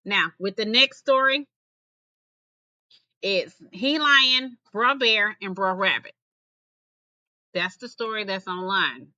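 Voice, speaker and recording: A woman reading folk tales aloud as a teacher, in an expressive storytelling style. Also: medium pitch, slow, long pauses, no echo, highs cut off.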